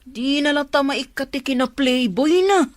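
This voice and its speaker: High, dry-recorded inquisitive Ilocano friend woman acting. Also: unhurried, no echo. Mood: curious, concerned. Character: sociable, inquisitive young woman, supportive confidante friend. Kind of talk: Ilocano audio drama acting.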